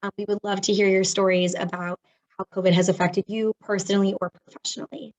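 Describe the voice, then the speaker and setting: A hoarse woman with laryngitis, a program volunteer, introducing herself casually over a video call. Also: mid-range voice, flat delivery, fast talker, darkish tone, poor audio. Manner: apologetic, casual. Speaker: AmeriCorps volunteer helping the webinar.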